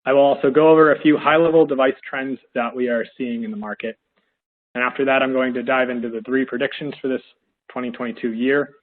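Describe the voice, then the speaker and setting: Hoarse male analyst on a tinny line. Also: medium pitch. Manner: steady, measured, with frequent fillers. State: hoarse from a head cold. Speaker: industry analyst presenting a webinar. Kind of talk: presenting a business webinar. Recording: tinny, phone-like audio.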